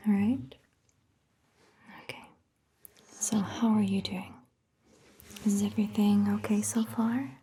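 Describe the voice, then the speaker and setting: A woman whispers gently and with long pauses in an ASMR roleplay, playing a soothing vampire doctor close to the microphone. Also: mid-range voice, steady tone, breathy edge. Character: attentive, soothing female vampire doctor, centuries-old clan member.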